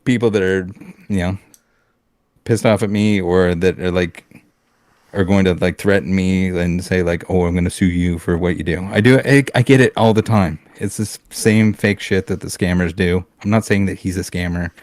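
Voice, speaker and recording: Brief: low-pitched Canadian man, blunt casual podcast co-host, dry close sound. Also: steady tone, normal speed, darkish tone, no echo. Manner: blunt, heated ranting with swearing and restarts.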